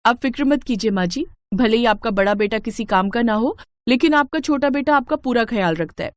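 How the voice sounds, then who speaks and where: A woman's voice: fairly high pitch, lively intonation, a little fast, no echo, clean studio sound, close to an everyday voice. A woman voicing a boastful, snobbish younger daughter-in-law in a cartoon story, haughty and taunting.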